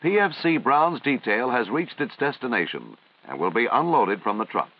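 1950s American training-film narrator, lively intonation, dull soundtrack. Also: male voice, mid-range voice, no echo. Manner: formal, measured, instructional. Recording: dull, band-limited vintage film soundtrack.

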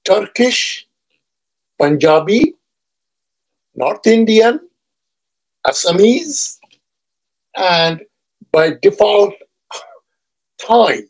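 A husky-voiced man in his seventies, with a voice that sits on the higher side for a man. His is Urdu, and he talks unhurriedly into a webcam from a home study, wandering between thoughts and filling pauses with "uh". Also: a little mellow, poor audio. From Bangladesh. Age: 70s. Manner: measured, discursive, pausing often with "uh". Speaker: historian vlogger. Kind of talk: personal introduction lecture. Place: home study lined with bookshelves. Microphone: webcam at desk, seated medium shot.

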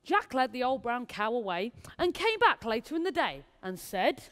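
A woman's voice: on the high side, sing-song, normal speed, a bit bright. A woman narrating a rhyming story theatrically on stage. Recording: stage performance in echoey hall.

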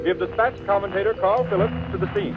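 Old timey radio news announcer guy acting it, high voice, very formal, muffled 30s recording. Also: fast, close to an everyday voice. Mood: formal, urgent. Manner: formal, crisply enunciated delivery. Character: urgent-sounding formal 1930s radio announcer reading bulletins. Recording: dull vintage 1930s radio recording, music behind.

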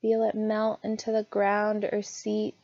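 A woman narrating a scripted guided meditation in a soothing, gentle, unhurried manner. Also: mid-range voice, monotone, no room sound, lo-fi sound.

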